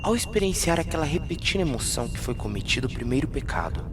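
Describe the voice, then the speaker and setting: A man's voice: fairly high pitch, very animated, fast talker, background noise. A man with a Brazilian accent gives a scripted, dramatic narration of a fantasy myth in a solemn storytelling manner. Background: music.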